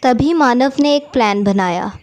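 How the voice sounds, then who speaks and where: A woman's voice: on the high side, measured pace, a little mellow. A woman reading scripted narration for a children's cartoon story.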